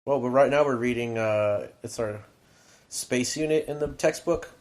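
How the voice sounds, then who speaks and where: A man's voice: medium pitch, moderate pace, a little mellow. Chatty man with a mid-range voice. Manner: rambling, chatty, full of "like" asides. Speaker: podcast co-host and middle school teacher. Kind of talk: casual podcast chat. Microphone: podcast microphone.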